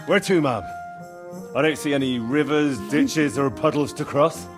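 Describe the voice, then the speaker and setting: An actor playing an earnest, polite young knightly adventurer with a husky voice in a video game. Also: male voice, on the high side, measured pace, soft background music, close to an everyday voice. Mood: gallant. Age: young adult. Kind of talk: naturalistic video game cutscene acting.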